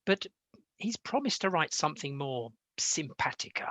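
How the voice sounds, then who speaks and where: A man's voice: a little high, very animated, normal speed, bright, forceful tone, no room sound, heavily acted. A British man acting an exasperated, serious Victorian composer in a radio play, speaking earnestly and formally. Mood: apologetic, weary. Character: weary, exasperated male Victorian composer, serious and self-pitying.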